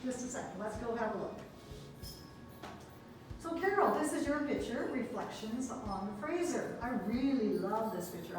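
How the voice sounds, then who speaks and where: A woman interviewing arts club members in a chatty, enthusiastic way. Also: medium pitch. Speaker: interviewer and producer. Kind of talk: casual interview. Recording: echoey room, far from mic, with music.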